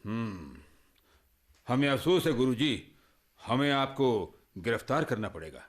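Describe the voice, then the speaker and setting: An actor playing a regretful police inspector in a radio play, halting and apologetic. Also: male voice, mid-range voice, very animated. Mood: official, regretful. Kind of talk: radio drama acting.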